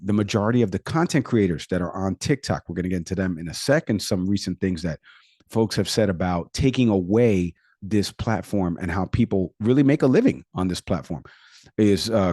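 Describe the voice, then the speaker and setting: A Puerto Rican man co-hosting a podcast, his voice low and mellow. He talks casually, piling up figures between "uh"s and "you know"s. The sound is close and dry. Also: dry recording. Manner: conversational, rattles off statistics with frequent fillers. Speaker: podcast co-host; product manager.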